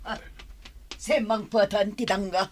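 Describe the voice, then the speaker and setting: A woman's voice: medium pitch, steady tone, measured pace, a little hiss or hum, close to an everyday voice. A woman playing a callous, defensive older mother-in-law in a radio drama, defiant and snappish.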